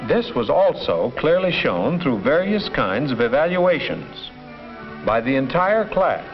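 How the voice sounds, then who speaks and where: A man playing an earnest schoolteacher, narrating a 1950s educational film in measured, formal phrases. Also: medium pitch, big pitch swings. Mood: satisfied. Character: earnest male schoolteacher narrating his class's progress. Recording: dull 1950s film soundtrack with music.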